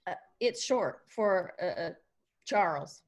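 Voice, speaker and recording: A woman's voice: lowish voice, expressive, takes it slowly. A woman reading the part of a bold, outspoken young bar manager in a screenplay table read. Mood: frank, pressing.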